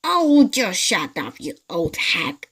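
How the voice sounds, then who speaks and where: A woman voicing Mr Twit, a mean, sneering old man, in a raised, lively voice. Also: on the high side, measured pace, voice pushed up, full character voice. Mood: scornful.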